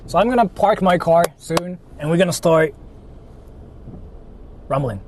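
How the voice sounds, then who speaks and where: A man's voice: a little high, animated, a little slow, a little hiss or hum. A man streaming live while he drives, talking to his chat and singing along to songs between remarks. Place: in a car.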